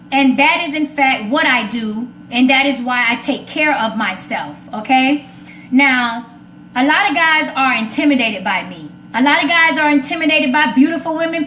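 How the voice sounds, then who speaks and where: A woman's voice: sits high, sharp tone. A woman hosting a live talk show, speaking casually and emphatically to her viewers in an outspoken way. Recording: roomy echo, faint background noise.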